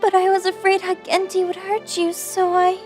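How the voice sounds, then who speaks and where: A woman playing a gentle, devout young mother in an audio drama, speaking softly and earnestly in a girlish voice. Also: extremely high pitch, monotone, normal speed, soft background music. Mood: tearful, earnest.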